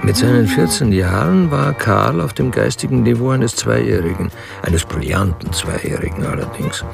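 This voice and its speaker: An actor as the detached, ironic narrator of a crime story, reading scripted literary narration in a radio play. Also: male voice, medium pitch, expressive, moderate pace, gravelly. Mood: dry, ironic. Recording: music behind the voice.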